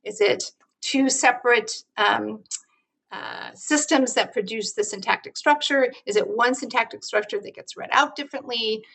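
A woman's voice: a little high, moderate pace, creaky, a bit bright. A woman researcher explaining her field in an interview, thoughtful, with many fillers and restarts. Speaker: researcher guest.

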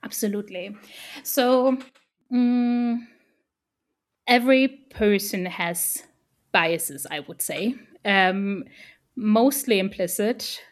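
A woman's voice: medium pitch, slow, long pauses. German-native woman explaining her research. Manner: thoughtful, many fillers and restarts. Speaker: interview guest, researcher. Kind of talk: casual academic interview.

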